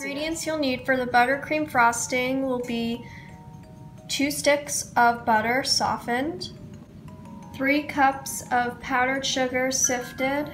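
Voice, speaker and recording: A young woman who is sick with a cold, giving a casual baking tutorial in a home kitchen. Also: sits high. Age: young adult. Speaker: baking vlogger. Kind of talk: casual recipe tutorial narration.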